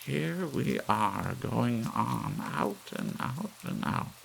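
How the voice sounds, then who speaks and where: A man voicing a resolute, wistful elderly woman in an audiobook story, with a gentle, measured delivery. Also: medium pitch, very animated, vocal fry, voice pushed up, heavily acted. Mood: resigned wonder. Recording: faint hiss under the voice.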